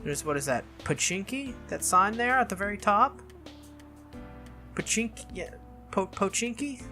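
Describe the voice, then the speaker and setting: Playthrough host, bright mid-pitched voice, expressive visual-novel reading, casual asides, music underneath. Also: unhurried. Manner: expressive, reacts aloud while reading. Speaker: video game playthrough host. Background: game music.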